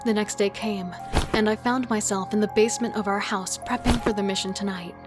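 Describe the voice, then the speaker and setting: A woman playing a thrill-seeking, remorseless killer and thief, narrating in an intimate, breathy way for a horror audio drama. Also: mid-range voice, steady tone, fairly quick, lightly acted. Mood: sultry, confident. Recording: music under the voice. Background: music.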